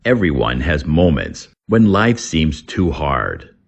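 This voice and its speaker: A man with a very low, gravelly voice reads an English lesson at an unhurried pace. His delivery is deliberate and teacherly, yet his intonation swings widely from phrase to phrase. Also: wildly swooping pitch, lo-fi sound. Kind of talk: scripted lesson narration, slow read-aloud.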